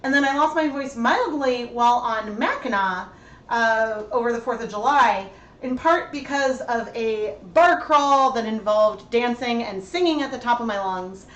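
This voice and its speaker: A slightly hoarse woman hosting a casual livestream tutorial, chatty and thinking aloud with plenty of fillers. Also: on the high side, unhurried, fairly bright tone, lo-fi sound. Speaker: livestream host dyeing yarn. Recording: roomy sound from a distant mic.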